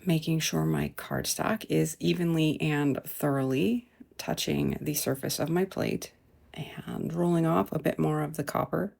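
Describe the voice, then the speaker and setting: A woman narrating a craft demonstration in an unhurried, explanatory, mildly self-deprecating way. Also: deep voice, lively intonation, vocal fry, darkish tone, husky. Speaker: art demonstrator and host. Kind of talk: instructional craft demonstration voice-over.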